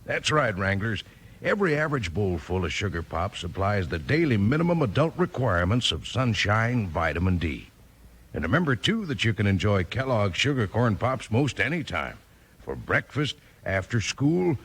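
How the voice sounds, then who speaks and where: A man's voice: lowish voice, very animated, moderate pace, a bit bright, slightly rough. A man with an American accent delivering a 1950s radio commercial in enthusiastic, folksy cowboy patter.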